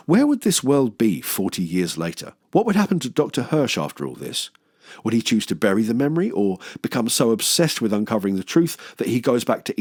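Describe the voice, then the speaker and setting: British guy doing a horror movie video essay, lowish voice, dry close sound, wry and nerdy-keen. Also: no room sound. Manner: enthusiastic, wry, swears playfully. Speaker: host of a film review channel. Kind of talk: scripted video essay narration.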